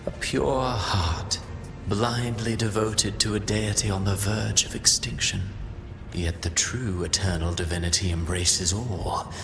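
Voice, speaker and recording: An actor playing a brooding, ominous villain in a video game, reciting poetic monologues in a grandiose, deliberate way. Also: male voice, on the low side, fairly even intonation, over music. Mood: solemn, mocking.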